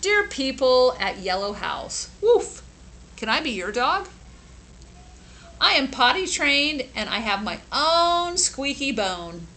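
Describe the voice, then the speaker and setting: Woman playing an eager stray dog, bright and lively. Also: high-pitched, slow, exaggerated voice. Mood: hopeful, eager. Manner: pleading, earnest, emphatic. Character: eager, lonely male stray dog pleading for a home. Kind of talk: children's storybook character reading.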